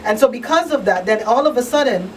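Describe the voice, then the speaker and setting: Female talk radio host, higher voice, emphatic and conversational, a little off-mic with faint noise. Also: animated, quick pace, recorded from a distance, poor audio. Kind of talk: casual radio talk, partly reading announcements. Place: radio studio.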